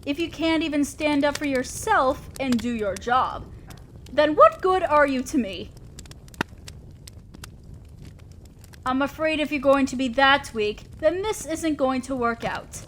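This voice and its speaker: A commanding female demon boss, acted by a woman, with a high voice and a theatrical, imperious delivery. She scolds and teases like a ruler who still protects her own. The audio roleplay has faint ambient noise behind it. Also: expressive, normal speed, a bit bright. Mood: mock menace. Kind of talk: scripted audio roleplay addressed to the listener.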